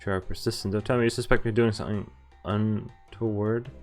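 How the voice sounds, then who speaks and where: A man's voice: lowish voice, fairly even intonation, a little fast, darkish tone. A man streaming a visual novel, reading the text aloud and adding casual commentary. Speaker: game streamer. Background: faint game music.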